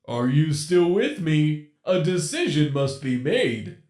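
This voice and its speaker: A man's voice: fairly high pitch, very animated, a little mellow, roomy sound, voice pushed up. A man voicing a gloating animatronic captor. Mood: mocking. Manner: slow, mocking, theatrical drawl. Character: menacing male animatronic captor, gleeful and cruel. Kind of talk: storybook reading.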